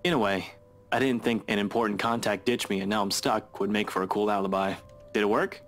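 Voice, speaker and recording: An actor playing a nonchalant, dry-witted investigator in a visual novel, speaking in an offhand, understated way. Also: male voice, lowish voice, fairly even intonation, a little fast, fairly bright tone, a little hiss or hum. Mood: dry, teasing.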